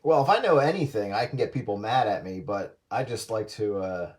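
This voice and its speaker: A man's voice: lowish voice, animated, a little fast. A man in casual radio chat with other hobbyists, teasing and argumentative, mockingly quoting people over two-way radio audio.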